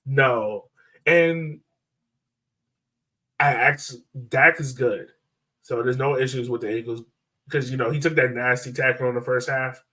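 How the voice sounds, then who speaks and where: A man's voice: mid-range voice, measured pace, pauses often, sharp tone. A man hosting a casual soccer podcast in a playful, joking way, a little distant from the microphone. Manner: playful, laughs often. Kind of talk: casual chat, joking sports talk.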